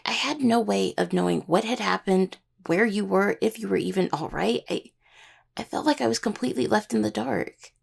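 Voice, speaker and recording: An anxious young necromancer acted by a woman in an intimate audio roleplay, devoted and earnest. The voice is heavily creaky and husky, and the words come out hesitant and stammered. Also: medium pitch, darkish tone.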